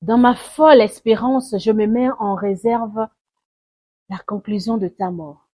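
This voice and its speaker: A woman recites a poem dramatically, in a solemn, mournful and deliberate way, swelling and hushing her phrases. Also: mid-range voice, steady tone. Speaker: poetry reciter. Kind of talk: dramatic spoken-word poetry recitation.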